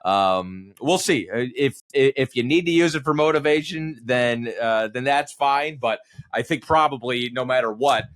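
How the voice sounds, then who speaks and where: A man's voice: mid-range voice, a little slow, fairly bright tone. Man talking sports analysis, thinking aloud. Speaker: sports radio play-by-play announcer, podcast guest.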